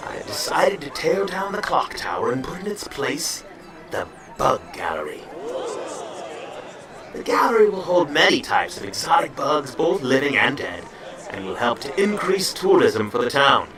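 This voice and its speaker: A man's voice: mid-range voice, very animated, moderate pace, a crowd in the background, natural voice. A man playing a pompous, theatrical small-town mayor making a formal public speech in an amateur audio drama. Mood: self-satisfied. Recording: echoey, distant, with music behind.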